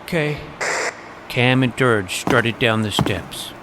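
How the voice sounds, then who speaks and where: A man's voice: mid-range voice, slow, lightly acted. A man playing a serious, imaginative twelve-year-old vampire hunter, narrating his own story in a dramatic, bookish way. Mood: distracted, tense. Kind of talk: scripted first-person story narration. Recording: noisy ambient background.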